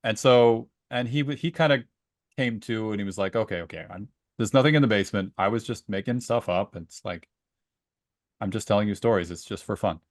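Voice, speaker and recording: A man's voice: fairly low pitch, fairly quick, no echo, studio quality. A man co-hosting a podcast in casual conversation, telling stories and acting out bits of remembered dialogue. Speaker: podcast co-host and story reader. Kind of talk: casual book discussion with personal anecdotes.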